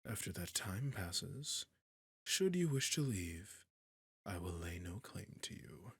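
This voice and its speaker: A man playing an imperious, possessive dragon king in a fantasy audio roleplay, speaking formally and deliberately in a husky growl that sinks into whispers. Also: low-pitched, big pitch swings, a bit bright, no room sound, studio quality, heavily acted. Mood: possessive, courtly. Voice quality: husky, gravelly, breathy growl that drops into whispers. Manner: slow, formal, commanding, deliberate pauses. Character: imperious, possessive dragon king, menacing yet courtly suitor. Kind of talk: scripted fantasy audio roleplay addressed to the listener.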